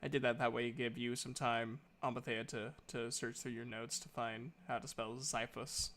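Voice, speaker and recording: A man's voice: medium pitch, little pitch movement, normal speed, a bit bright, a little hiss or hum. A man chatting casually while taking part in an online tabletop roleplaying game.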